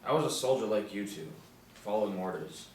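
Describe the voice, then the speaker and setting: A man's voice: on the low side, lively intonation, fairly quick, close to an everyday voice. A man at a script table read playing a guarded old grandfather and war veteran with a hidden past. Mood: defensive, agitated. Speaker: actor at a script table reading. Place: indoor room, seated reading panel. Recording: roomy, distant mic, background hiss.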